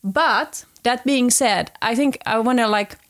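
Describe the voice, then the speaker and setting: A woman's voice: a little high, unhurried, a bit bright. Woman in her forties, casual chat. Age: 40s. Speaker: podcast guest.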